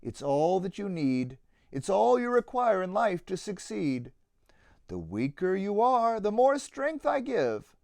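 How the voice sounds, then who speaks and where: A man voicing a gentle, wise man in a children's storybook, speaking softly in a calm, mysterious, reassuring way. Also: high-pitched, takes it slowly, creaky, no room sound, exaggerated voice. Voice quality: soft.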